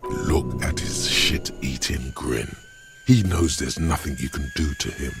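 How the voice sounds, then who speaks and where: A man voicing a sinister, menacing figure who goads slowly toward violence. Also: very deep voice, wildly swooping pitch, over music, full character voice. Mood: menacing, goading. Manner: slow, menacing, goading. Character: sinister menacing male voice urging murder.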